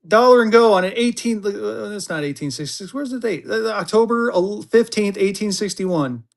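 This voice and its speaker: A fairly high-voiced man hosts a live auction stream in casual banter. He chats along with easy drawling asides, then jumps up in pitch and volume to cheer his viewers on. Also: normal speed, vocal fry. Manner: excitable hype bursts, chatty, drawling asides. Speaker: livestream auction seller.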